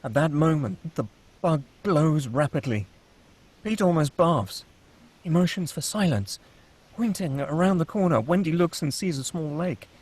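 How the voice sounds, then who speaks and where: A man with a fairly high voice narrates a screenplay. He reads action lines and scene headings as scripted storytelling. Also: lively intonation, measured pace, darkish tone. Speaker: stage-direction narrator.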